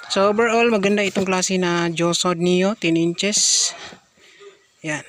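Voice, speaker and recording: Flat-toned Filipino man demonstrating gear. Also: high voice, takes it slowly, no echo, soft background music. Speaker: product reviewer. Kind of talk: casual hands-on product demonstration.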